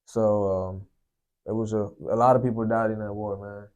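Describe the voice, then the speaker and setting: Low, flat, dark-voiced young man from Sri Lanka. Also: dull audio. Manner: soft-spoken, unhurried, fills with "you know, man". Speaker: guest sharing testimony. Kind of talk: personal testimony, casual storytelling.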